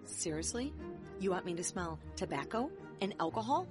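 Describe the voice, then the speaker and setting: A woman's voice: mid-range voice, sing-song, moderate pace, music in the background, close to an everyday voice. A woman voicing an exasperated young American bride on her honeymoon, speaking bluntly and sarcastically. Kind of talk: audio drama acting.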